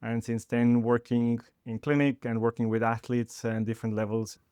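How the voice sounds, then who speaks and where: A middle-aged man, a sports chiropractor guest answering in a halting podcast interview with many fillers and restarts. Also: medium pitch, level tone, darkish tone, clear, resonant tone, no room sound, studio quality. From Estonia.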